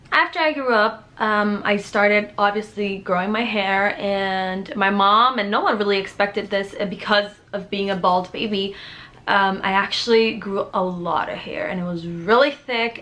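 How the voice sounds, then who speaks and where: A woman's voice: mid-range voice, moderate pace, pushed and bright. Candid young woman from the Dominican Republic. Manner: earnest and open, many fillers and restarts. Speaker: vlogger. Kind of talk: candid sit-down vlog. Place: small bright bedroom, indoors.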